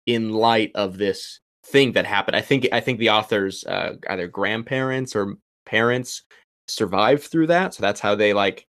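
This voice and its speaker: A young man in his twenties, a doctoral student guest on a podcast, talking casually and haltingly with many ums and uhs. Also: fairly low pitch, a bit bright, dry recording, clean studio sound. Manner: halting, full of ums and uhs, shifting pace. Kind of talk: casual interview talk.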